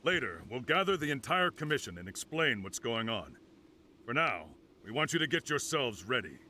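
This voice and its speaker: An actor playing a resolute, authoritative commander in a video game, giving firm and formal orders. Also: male voice, medium pitch, very animated, normal speed, pushed and bright, slight background noise. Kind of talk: dramatic video game cutscene dialogue.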